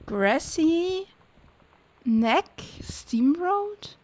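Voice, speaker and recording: A woman doing casual livestream game commentary, reading on-screen text aloud in a halting, puzzled way, muttering guesses as she goes. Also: very high voice, very animated, a little mellow. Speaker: game streamer.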